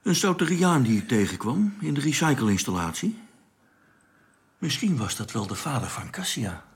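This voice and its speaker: An actor playing a plain-spoken, slightly naive radio operator on a spaceship in a radio drama. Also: male voice, medium pitch, very animated, moderate pace, creaky. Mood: puzzled.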